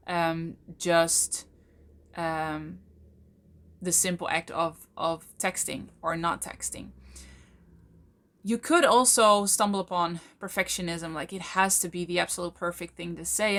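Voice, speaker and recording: Soft-spoken Dutch woman explaining slowly. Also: on the low side. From Netherlands. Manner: thoughtful, with frequent fillers and slow drawn-out emphasis. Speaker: channel host, coach. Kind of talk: casual explanatory talk to camera.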